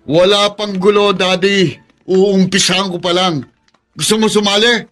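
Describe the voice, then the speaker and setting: An actor playing a bitter, bullying young troublemaker son in a Filipino radio drama, taunting and confrontational. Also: male voice, high-pitched, fairly even intonation, unhurried, a bit bright. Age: young adult.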